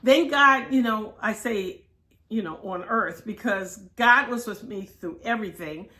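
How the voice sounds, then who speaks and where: A woman in her sixties telling personal stories as an interview guest, candid and expressive, often acting out what was said. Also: mid-range voice, unhurried. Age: 60s. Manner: expressive, quotes herself and others as she tells it. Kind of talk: candid personal storytelling in conversation.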